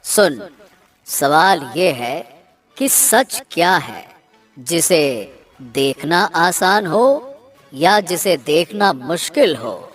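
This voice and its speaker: Woman voicing a slow, low, mysterious cartoon swan. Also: sing-song, soft background music, heavily acted. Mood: impressed, testing. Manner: slow, deliberate, dramatic. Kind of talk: animated children's story dialogue.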